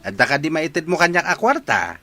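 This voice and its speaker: A man's voice: fairly high pitch, huge pitch swings, fairly quick, pushed and bright, full character voice. An actor with an Ilocano accent playing a money-grubbing older father who pesters, in a radio drama. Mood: demanding.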